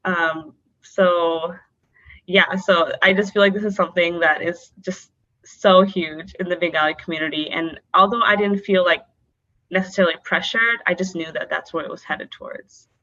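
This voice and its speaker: Open-spoken young woman, lower voice, muffled. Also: fairly even intonation, normal speed, sharp tone. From North Dakota, born in Bangladesh. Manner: open, many fillers like um and like. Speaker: podcast guest. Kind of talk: candid interview answers.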